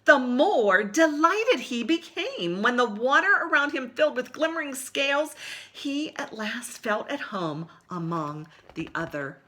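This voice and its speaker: A woman's voice: high-pitched, unhurried. A woman hosting story time, reading a picture book expressively to young children and addressing them directly. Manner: animated, asks listeners questions. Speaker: library story time host and reader.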